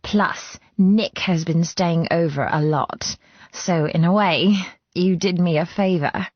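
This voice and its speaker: A woman's voice: lowish voice, slow, dry recording, lo-fi sound, voice pushed up. A woman voicing a chatty, teasing young female friend in audiobook dialogue, exclamatory and playfully sarcastic. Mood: warm, reserved.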